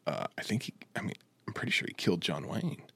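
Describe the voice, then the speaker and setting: Male podcast co-host with swinging, expressive pitch and a dry sound, chatty, agreeable and opinionated. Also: fast talker, husky, no room sound. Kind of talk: casual chat.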